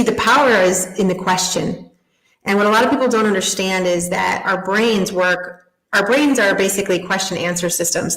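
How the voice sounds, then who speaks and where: A woman speaking as a guest in a podcast interview, explaining ideas thoughtfully with gentle everyday images. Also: fairly low pitch. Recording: roomy echo.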